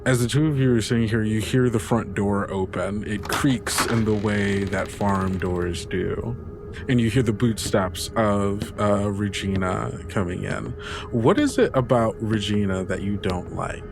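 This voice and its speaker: A man working as game master, narrating a tabletop roleplay in a measured, descriptive way with dramatic pauses. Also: lowish voice, creaky, music in the background.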